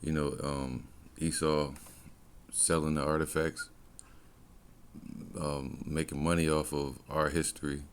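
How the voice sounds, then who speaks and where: An American man giving informal religious teaching and commentary as he reads, in halting short phrases. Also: extremely low pitch, flat delivery, clear, resonant tone. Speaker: religious camp teacher and presenter.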